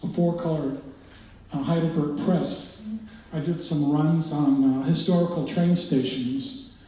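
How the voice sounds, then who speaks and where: Older guy with a mellow voice rambling about his paintings, lots of uhs, far off in a very echoey gallery. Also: fairly high pitch, steady tone. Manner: halting, many uhs and restarts. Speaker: exhibiting artist. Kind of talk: informal gallery talk. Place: art gallery, indoors. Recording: very echoey room, voice far from mic, faint noise.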